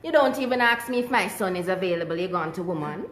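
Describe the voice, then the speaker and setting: A woman's voice: fairly low pitch, animated, fast talker, fairly bright tone, reverberant. A woman voicing a nosy, scandalized older uptown woman gossiping in a Jamaican accent.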